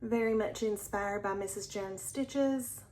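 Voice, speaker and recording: Slow-talking, gushing woman crafter. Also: mid-range voice, a little mellow, slight background noise. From Alabama, US. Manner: chatty, enthusiastic, often drops to a near whisper. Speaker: cross-stitch channel host. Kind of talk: casual show-and-tell chat.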